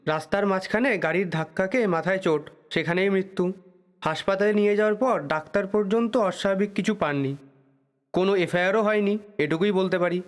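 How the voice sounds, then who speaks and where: A long reverb surrounds this curt sub-inspector acted by a man. His voice sits high for a man, and he speaks in a brusque, guarded, official way, as in an audio drama. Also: brisk, natural voice. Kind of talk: Bengali radio play acting. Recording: long reverberant room echo.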